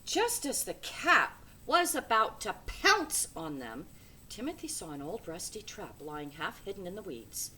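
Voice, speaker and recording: A woman's voice: medium pitch, very animated, a little slow, pushed and bright, slight background noise. A woman reading a children's storybook aloud with dramatic, emphatic phrasing. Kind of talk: expressive children's storybook narration.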